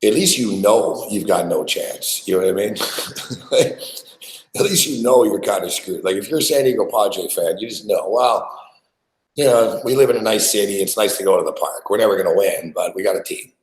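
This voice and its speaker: A man chats loosely on a live sports betting show, loud and full of swearing as he rambles between topics. His voice sits a little low. The room around him is echoey. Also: animated, moderate pace, slight background noise. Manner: loud, profane, rambling banter. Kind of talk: casual live-stream chat while eating. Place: small studio.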